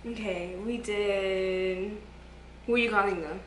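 A woman's voice: medium pitch, fairly even intonation, slow pace, fairly bright tone. A teenage young woman chatting casually with a friend, recorded in a small echoey room with heavy noise. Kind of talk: casual chat between friends. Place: small living room indoors. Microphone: camera a short distance away.

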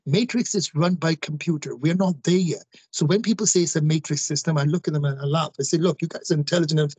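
A man's voice: a little high, fast, a little mellow, breathy edge, no room sound. A man in his forties, a guest speaking emphatically on a video call, repeating phrases and addressing listeners directly. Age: 40s. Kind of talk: emphatic interview talk.

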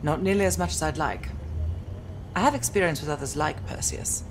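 An actress performs a British woman intelligence officer in a video game cutscene. Her voice sits fairly low, formal and precise, with a measured, analytical temper. Clear ambient noise runs behind her. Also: close to an everyday voice. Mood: composed.

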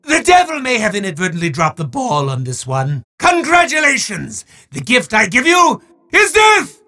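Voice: mid-range voice, huge pitch swings, moderate pace, bright, forceful tone, exaggerated voice. An actor voicing a pompous male demon who hands out prizes, with a theatrical, bellowing delivery and who talks about himself in the third person. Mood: grandly menacing. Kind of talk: game character voice acting.

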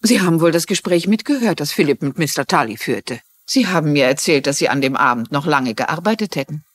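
A woman's voice: low voice, animated, brisk. A woman playing a shrewd, courteous older amateur sleuth in a dubbed TV drama, polite and probing. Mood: probing, grave.

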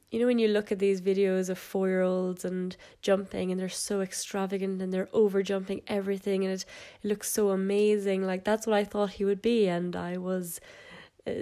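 A woman's voice: medium pitch, dark tone. Nasal young woman with a cold. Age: young adult. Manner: casual, chatty, with fillers and little laughs. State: congested with a cold. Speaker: equestrian vlogger. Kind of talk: voice-over narration of horse videos.

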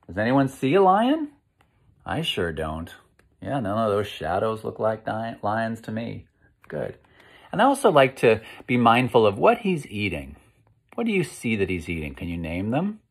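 A middle-aged American man hosts a read-aloud for young children. He speaks slowly at a middle pitch, with expressive, encouraging delivery. He often pauses to put simple questions to his listeners. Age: 50s. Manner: slow, expressive, encouraging, pausing to ask questions. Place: indoor room at home.

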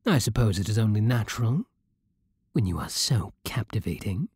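Guy doing a british vampire king for asmr, super breathy n mellow, bossy and possessive, close dry sound. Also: on the low side, lively intonation, moderate pace, no echo. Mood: possessive, smug. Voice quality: breathy, hushed. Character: possessive, commanding vampire king, seductive and imperious. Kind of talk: intimate ASMR roleplay.

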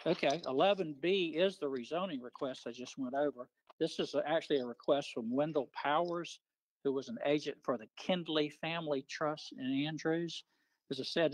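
A man's voice: fairly high pitch, no room sound, poor audio. A man presenting as a planning official on a video call, explaining details in a semi-formal way.

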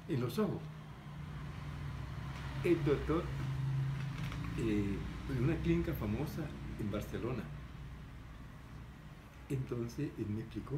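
Older man telling a story. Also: on the low side. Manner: animated, gestures a lot, voices the people in his story. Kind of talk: casual anecdote telling. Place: room with framed pictures, seated at a table indoors. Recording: echoey room with background noise.

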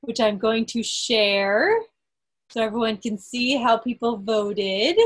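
A woman with a fairly high, slightly husky voice reads out quiz results as host. She speaks in a measured, emphatic way, recorded over a group video call. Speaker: quiz host at a club meeting.

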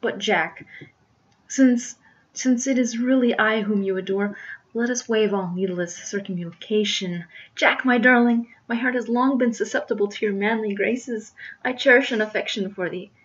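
A woman's voice: a little high, normal speed, lo-fi sound, voice pushed up, natural voice. Woman acting a coy, gushing farm maiden. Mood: coy, smitten. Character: coy, gushing young farm maiden, melodramatic, secretly mercenary.

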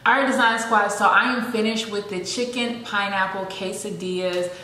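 A woman's voice: mid-range voice, fairly even intonation, moderate pace, sharp tone. A young woman vlogging in her home kitchen, reading recipe steps aloud and adding playful asides to viewers. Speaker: lifestyle vlogger. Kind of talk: casual cooking vlog, reading recipe steps. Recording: faint fan noise in a kitchen. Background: vent fan noise.

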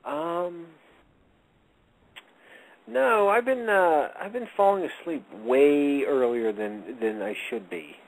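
A man's voice: on the high side, slow, vocal fry, long pauses, rough recording. A man co-hosting a casual radio chat show, rambling and joking as he tells stories. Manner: rambling, jokey, animated storyteller. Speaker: co-host.

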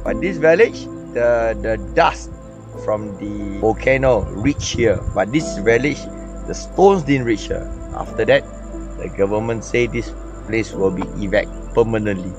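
A man, a travel companion, explaining in a measured way outdoors in a village. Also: fairly low pitch, poor audio. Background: music.

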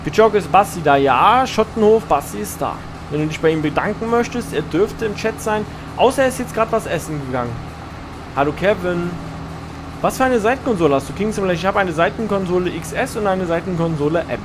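A man talks his way through a live farming-game stream, greeting viewers and answering their chat as he plays. His voice sits high for a man. A steady noise runs under the recording. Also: a little fast. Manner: chatty, answers chat messages while playing.

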